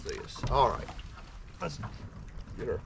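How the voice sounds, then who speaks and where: A man's voice: medium pitch, big pitch swings, a little slow, vocal fry. A young man giving folksy running commentary to viewers while he fishes from a boat. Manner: folksy, talks to himself and viewers while casting. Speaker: fishing vlogger. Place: open fishing boat on a windy lake, outdoors. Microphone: camera mounted on the boat, several feet away. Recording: distant outdoor mic with loud wind and boat noise.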